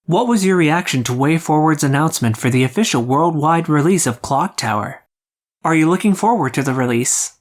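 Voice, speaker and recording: A man's voice: fairly high pitch, animated, a little fast. A man hosting an interview podcast, reading scripted questions and announcements.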